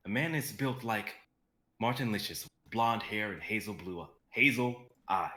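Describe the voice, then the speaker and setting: A young man reads stage directions as narrator. His voice is low yet bright and forceful, shaped for dramatic script narration. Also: unhurried. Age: young adult. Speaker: narrator and reader.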